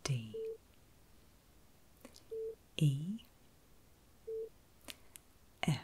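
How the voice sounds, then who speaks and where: A woman speaking in a soft, breathy whisper, gently reassuring the listener as a medical carer in an ASMR roleplay. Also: rumbling low, lively intonation, drawn-out words.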